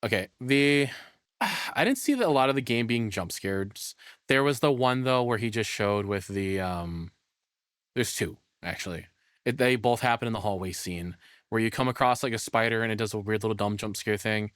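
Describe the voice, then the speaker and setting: A man's voice: on the low side, expressive, normal speed, fairly bright tone, no echo, clean studio sound. A man giving casual, off-the-cuff reaction commentary in a rambling, self-deprecating way. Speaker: reaction streamer.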